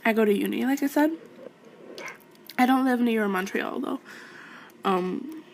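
A young woman with French as her first language rambles casually at a slow pace. Her voice has a gravelly fry, and she is candid and hesitant as she answers questions. There is faint noise behind her. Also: fairly high pitch. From Quebec, Canada. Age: late teens, young adult. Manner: giggly, hesitant, candid, self-interrupting. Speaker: audio creator answering listener questions.

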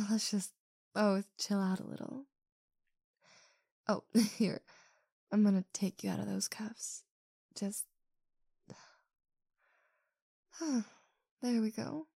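A woman playing a possessive but affectionate girlfriend in an intimate roleplay audio, with a breathy, drawn-out delivery. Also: medium pitch, warm tone, no room sound, clean studio sound, exaggerated voice. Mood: tender, relaxed.

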